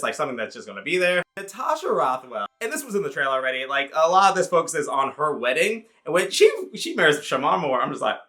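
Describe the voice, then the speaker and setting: A man in his thirties giving a casual, comedic movie review, rambling excitedly with swearing and jokey asides. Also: a little high. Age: 30s.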